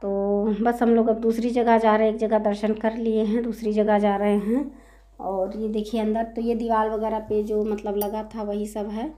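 A woman's voice: medium pitch, little pitch movement, normal speed, mellow. A woman narrating a temple visit as a vlog voice-over, chatty with many fillers, her throat a little sore. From India. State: sore throat, occasional cough. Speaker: vlogger. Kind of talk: casual travel vlog voice-over. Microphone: voice-over recorded separately.

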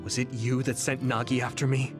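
A man voices a determined young soldier with a steady, mid-pitched voice. He speaks earnestly and plainly. Game music runs under him. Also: a little fast, natural voice. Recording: game cutscene with music behind.

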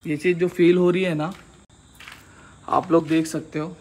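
A man vlogging as he explores an abandoned school by day, talking in an excited, spooked way and mixing in English words. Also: fairly high pitch, moderate pace. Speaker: vlogger exploring a haunted place. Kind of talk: casual walk-through vlog. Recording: loud insect and cricket noise behind.

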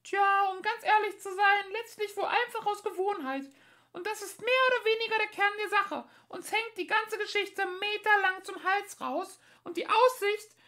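A man voicing two scheming male mice scientists in a very high squeaky voice, reading an audiobook. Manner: fast, excited, scheming.

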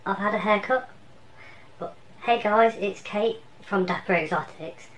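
A man's voice: sits high, measured pace, a bit bright, faint music behind, rough recording. A man imitating an upbeat woman pet youtuber, giving a cheerful channel intro in a room lined with enclosures. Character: bubbly female exotic-pet youtuber.

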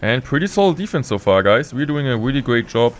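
A young man streaming gameplay from a room indoors, talking through each move in steady play-by-play with excited bursts at big plays, a little sick. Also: mid-range voice, fairly quick, slight background noise. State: slightly sick. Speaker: game streamer. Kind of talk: live gameplay commentary.

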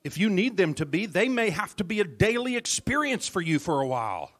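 A man's voice: high-pitched, big pitch swings, a little fast, a bit bright, faint music behind. A man preaching a sermon as minister at a church service, dramatic, dropping his voice to near whispers for emphasis and calling out to the congregation. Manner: calls out to the congregation.

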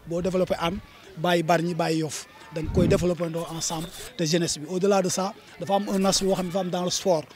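A Senegalese man in his thirties answers as an association official in an interview. His voice is notably high for a man, picked up by a handheld microphone outdoors. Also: darkish tone. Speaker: association vice-president. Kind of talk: on-camera interview. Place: outdoors on a sandy football pitch. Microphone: handheld reporter microphone.

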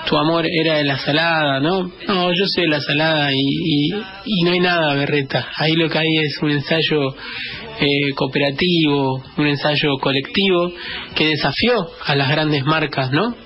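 High-pitched Argentine man giving a radio editorial. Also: fairly even intonation, normal speed. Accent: Greater Buenos Aires Argentine. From Greater Buenos Aires, Argentina. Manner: reflective, repeats phrases for emphasis. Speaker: radio host. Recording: dull band-limited radio sound, music behind.

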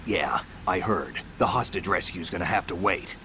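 An actor playing a steady veteran soldier in a video game, terse and matter-of-fact. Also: male voice, deep voice, moderate pace, slight background noise. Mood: businesslike. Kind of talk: dramatic game cutscene acting.

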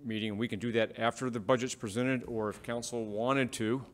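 A man on city staff walking a council through scheduling at a public meeting, in a measured way with fillers and restarts. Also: medium pitch, fairly even intonation. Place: council chamber.

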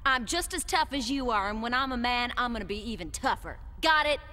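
A woman voicing a feisty, hot-tempered young alien tomboy, brash and defiant, in an animated dub. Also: on the high side, fairly quick, background noise, natural voice. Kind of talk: animated anime dub acting.

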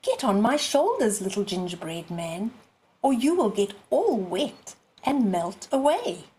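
A woman voicing a sly, coaxing male fox in a children's storybook, in an unhurried, persuasive way. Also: mid-range voice, sing-song, a little mellow. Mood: sly persuading.

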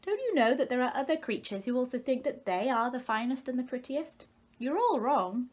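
A woman's voice: high-pitched, animated, brisk, pitched above the performer's own voice. A woman reading a storybook as a plucky, outspoken, indignant young male little fish. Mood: challenging.

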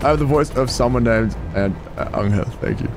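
A man's voice: mid-range voice, brisk. A young man giving casual, joking commentary while playing a game, thinking aloud and swearing freely. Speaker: gameplay streamer. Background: game music.

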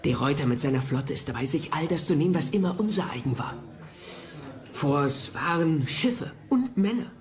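A man acting as a fretful, proper official in a historical audio drama. His voice is on the high side, earnest and reproving, with faint music underneath. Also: lively intonation, normal speed, close to an everyday voice. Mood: dismayed. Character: worried, proper English naval official. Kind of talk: historical docudrama radio play acting.

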